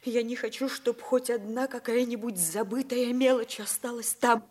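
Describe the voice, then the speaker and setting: A woman's voice: a little high, steady tone, moderate pace, a little mellow, close to an everyday voice. An actress playing a proud, wounded young woman of principle in a theatrical 1950s Soviet radio drama. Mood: resolute, sad.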